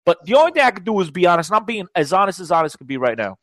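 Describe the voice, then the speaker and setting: A man from Portugal talks football on a sports podcast with a slightly high voice. He is emphatic, repeats his points for stress and laughs easily. The sound is dry and close to the mic. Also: sing-song, rapid-fire, fairly bright tone, dry recording. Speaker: podcast panelist. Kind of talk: casual sports debate.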